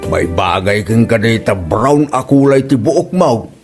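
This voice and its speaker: A man's voice: expressive, a little slow, over music. Guy doing a jokey dad around fifty, voice bouncing all over the place. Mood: teasing. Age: 50s. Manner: playful teasing, big pitch swings. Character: teasing doting father, about fifty. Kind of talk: comedic audio drama acting.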